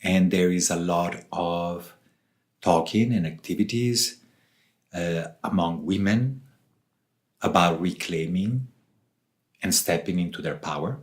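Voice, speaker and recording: A man from southern Switzerland giving reflective interview answers as a guest, speaking deliberately in short phrases with pauses. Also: sits low. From Switzerland, southern part. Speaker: author and ayahuasca facilitator, interview guest.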